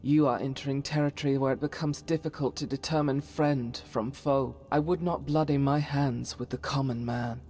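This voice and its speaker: A woman's voice: very deep voice, fairly even intonation, moderate pace, few pauses, darkish tone, soft background music. A woman playing a devout, solemn holy warrior in a fantasy game, speaking in formal, archaic and earnest phrases. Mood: solemn, regretful. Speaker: voice actor for a game companion. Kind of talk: dramatic scripted fantasy game dialogue.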